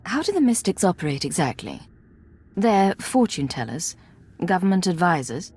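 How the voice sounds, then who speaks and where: A cool, guarded secret agent in a video game. Her voice sits fairly low and has a husky grain, and she keeps her delivery controlled and probing. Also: sing-song, moderate pace, darkish tone. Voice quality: slightly husky. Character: Imperial intelligence agent, guarded, composed, probing woman operative. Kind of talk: video game cutscene acting.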